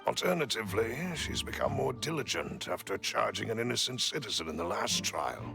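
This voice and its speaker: Gruff gravelly henchman in a game, loyal type, music behind him. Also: lowish voice, lively intonation, brisk. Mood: matter-of-fact. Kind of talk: video game drama acting.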